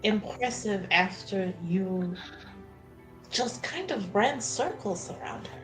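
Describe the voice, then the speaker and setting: A woman's voice: mid-range voice, expressive, slow, rough recording. Woman roleplaying casually over music. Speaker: tabletop roleplay player. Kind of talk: casual in-character tabletop roleplay.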